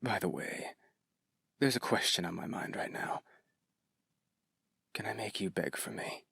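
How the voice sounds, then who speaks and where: A man's voice: fairly low pitch, unhurried, vocal fry, pushed and bright, breathy edge, no room sound, studio quality, heavily acted. A man playing an obsessive, possessive kidnapper in an intimate ASMR roleplay, sweetly menacing and teasing, often dropping into whispers. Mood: smug, adoring.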